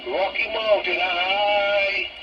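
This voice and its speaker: A man's voice: high voice, steady tone, drawn-out words. A man chattering as a CB operator, heard over a radio. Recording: muffled noisy CB radio reception.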